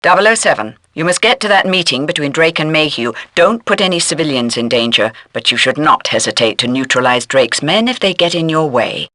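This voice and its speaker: An actress playing a stern intelligence handler giving crisp, formal mission briefings in a video game. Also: female voice, low-pitched, animated, brisk, hardly any pauses, bright, forceful tone, dry recording. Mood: stern, businesslike. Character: stern, businesslike intelligence handler directing a secret agent.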